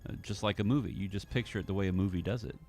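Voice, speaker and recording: A man's voice: on the low side, rapid-fire, darkish tone. A man giving a casual walkthrough of his audio drama editing, thinking aloud in fragments. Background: film score music.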